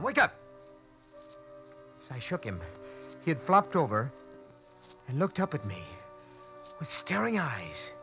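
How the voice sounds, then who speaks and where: An actor playing an anxious young lawyer, narrating tensely in first person in a 1940s radio drama. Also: male voice, a little high, creaky, bright, forceful tone, full character voice. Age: young adult. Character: panicked young lawyer who wakes beside a corpse. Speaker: narrator and lead. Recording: muffled old radio broadcast with music.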